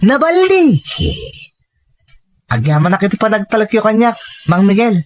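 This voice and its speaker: A man's voice: high-pitched, sing-song, moderate pace, darkish tone, voice pushed up. A man playing a young, idealistic rebel recruit in an audio drama, earnest and respectful in manner. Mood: humble, grateful.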